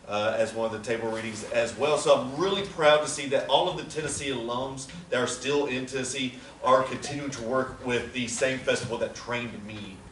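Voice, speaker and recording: A man making casual announcements to a theater audience, chatty and teasing. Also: mid-range voice. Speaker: theater company organizer. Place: theater or event room. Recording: roomy, from far off, faint noise.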